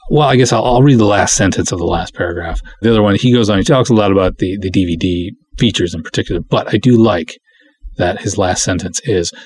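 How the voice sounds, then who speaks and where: A man with a husky, somewhat low voice hosts a podcast on his own. He chats casually and rambles a little, self-deprecating, slipping between reading aloud and offhand commentary. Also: a little mellow. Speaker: podcast host.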